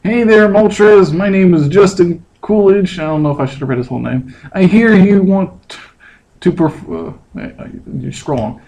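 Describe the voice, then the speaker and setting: A man's voice: sits high, normal speed, warm tone, rough recording. A man doing a comedic table read as a podcast co-reader, playful and amused, with casual asides.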